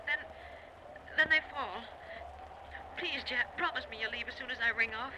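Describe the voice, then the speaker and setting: An actress playing an anxious wife who pleads haltingly with her husband in an old-time radio drama. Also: on the high side, lightly acted. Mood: anxious, pleading. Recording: noisy old radio recording.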